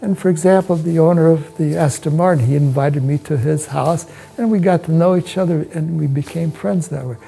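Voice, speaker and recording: A man with an American accent speaks as a hotel owner in an interview, recalling his experiences in a measured, halting way with short phrases. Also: fairly high pitch, creaky, dark tone, soft background music, poor audio. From United States. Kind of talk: promotional interview, personal recollection. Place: indoors, hotel suite.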